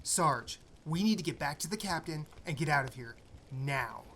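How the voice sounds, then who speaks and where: Man acting a tense, sharp-voiced young-sounding marine. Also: a little high, expressive, normal speed, slight background noise. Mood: urgent, nervous. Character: anxious male marine under fire, tense. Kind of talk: fan audio drama acting.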